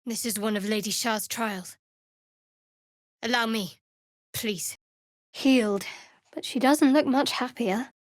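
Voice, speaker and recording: A woman playing a guarded, sardonic young woman cleric of a dark goddess in a video game, with a dry manner. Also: on the high side, slow pace, pauses often, no room sound. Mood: dry, observant. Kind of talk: video game character voice acting.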